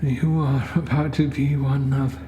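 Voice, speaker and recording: A man voicing a frail, wealthy old cult patron in a horror story, grandiose, with slow and halting speech. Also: medium pitch, level tone, dark tone, slight background noise, voice pushed up, lightly acted. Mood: grateful, feeble. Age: older. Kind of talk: horror story character acting.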